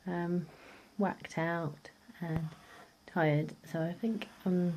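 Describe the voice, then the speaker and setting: A British woman with a fairly low voice talks casually through a food diary at home. She rambles hesitantly, trailing off and circling back over her words. It is an amateur recording with noticeable background noise. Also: steady tone, drawn-out words, darkish tone. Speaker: vlogger. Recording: noisy amateur home video.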